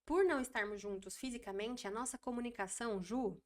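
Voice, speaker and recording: A woman in her twenties, a podcast guest chatting casually and telling stories with lively repeats. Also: a little high. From Brazil. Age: 20s. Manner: chatty, animated storytelling with quick repeats. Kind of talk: casual interview chat.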